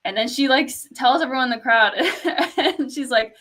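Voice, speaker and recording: A young woman from Canada with a fairly quiet speaking voice, telling a story in casual chat with lots of "like" and laughter. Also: sits high, normal speed. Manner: storytelling, laughs often, says "like" a lot.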